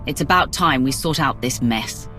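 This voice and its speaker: A woman's voice: extremely low pitch, lively intonation, moderate pace. An actress voicing a firm, composed and authoritative female commander in a video game. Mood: stern. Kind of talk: video game cutscene acting. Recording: game music under the voice.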